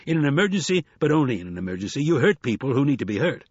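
A sly, commanding older con artist, acted out by a man reading an audiobook, pitched up and swinging widely, with a husky edge. He is grandiose and jovial, persuading like a showman. The recording is close and slightly dull. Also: very animated, racing, no echo. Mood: commanding, persuasive. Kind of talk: audiobook character acting.

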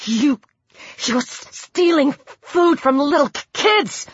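A woman's voice: sits high, animated, slow pace, dry recording, highs cut off, voice pushed up. A woman voicing a frightened but defiant young girl in an audiobook, stammering and pleading breathlessly.

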